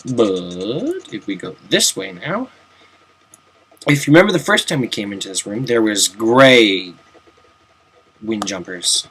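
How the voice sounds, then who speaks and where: A man's voice: fairly low pitch, very animated, unhurried, pauses often, a bit bright, slightly rough. A young man giving casual, chatty commentary while playing through a game, with self-mocking jokes and asides. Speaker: let's play commentator.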